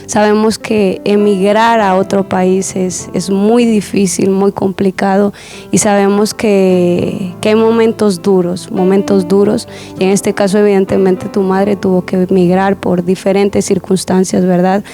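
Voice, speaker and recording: Mellow-voiced woman hosting a church program. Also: medium pitch, level tone, unhurried, soft background music. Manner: warm pastoral, repeats words, many affirming murmurs.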